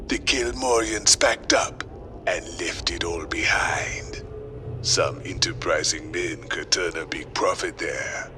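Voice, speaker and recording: A shady, self-assured mercenary in a video game. He has a very low voice and a sly, deliberate delivery, with music clearly behind him. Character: shady, confident mercenary offering a risky deal. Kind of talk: video game cutscene dialogue.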